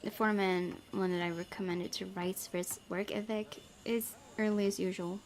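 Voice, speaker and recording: A woman hosting a gaming video, reading story text aloud and chatting informally about it with expressive reactions. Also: mid-range voice, normal speed, a little hiss or hum. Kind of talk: reading a game's narration aloud with casual commentary.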